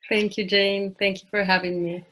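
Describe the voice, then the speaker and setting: A woman in her thirties from Colombia whose first languages are French and Spanish, speaking softly and thoughtfully as an interview guest. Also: medium pitch, low-quality recording. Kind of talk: casual interview conversation.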